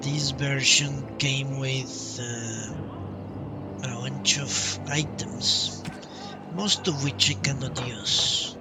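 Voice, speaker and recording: A man commenting casually on a live game stream, thinking aloud in a halting way. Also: medium pitch, recorded from a distance. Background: video game music.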